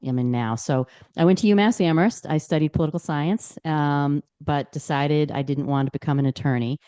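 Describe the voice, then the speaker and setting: A woman speaks as a podcast guest. Her voice is mellow and clear, and she talks earnestly, with frequent fillers and self-corrections. She stays sincere throughout. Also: rumbling low. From Boston, Massachusetts. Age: 60s. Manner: earnest, with many fillers and restarts. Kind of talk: podcast interview.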